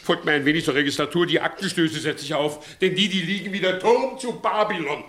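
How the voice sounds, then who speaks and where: An actor playing a shifty, blustering village judge in a theatrical 1960s German radio verse comedy. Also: male voice, fairly high pitch, a little fast, fairly bright tone, natural voice. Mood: sly, uneasy.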